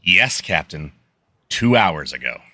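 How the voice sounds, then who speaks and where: A man's voice: low voice, very animated, slow pace, pushed and bright, dry recording, clean studio sound, full character voice. A man playing an exasperated, hard-working starship engineer in a radio play, emphatic but straining to stay polite.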